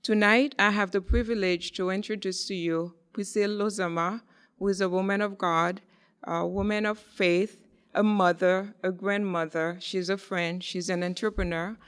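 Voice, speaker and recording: A woman hosting a podcast, giving a measured, formal introduction of her guest. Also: medium pitch, steady tone, a bit bright, clear, resonant tone. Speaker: podcast host.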